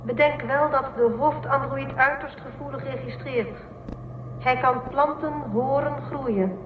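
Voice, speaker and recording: An actress playing a composed female operator who briefs a commander in a formal, measured way, in a radio play. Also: sits high. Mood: calm, instructive. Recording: muffled narrowband audio, echoey, clear noise.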